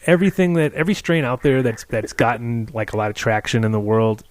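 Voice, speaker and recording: Man host, mid pitch, dry studio mic, easygoing and jokey, feeds the questions. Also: steady tone, a little fast, dry recording. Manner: laid-back, joking, prompting questions. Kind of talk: casual radio chat.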